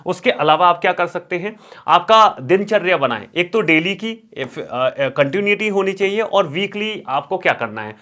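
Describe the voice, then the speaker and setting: A man's voice: high voice, animated, moderate pace, pushed and bright. A man teaching as an exam coach, instructional, repeating key words for emphasis and mixing in English terms. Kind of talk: exam strategy lesson with course promotion.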